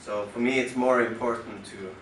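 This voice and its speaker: A man from western Norway with a Norwegian accent, talking to a concert audience from the stage in a halting, thoughtful way with many pauses and restarts. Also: mid-range voice, steady tone, a bit bright, slight background noise. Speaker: musician introducing his work on stage. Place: concert club venue. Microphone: stage microphone through the house speakers, recorded from the audience. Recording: roomy venue sound recorded from far off.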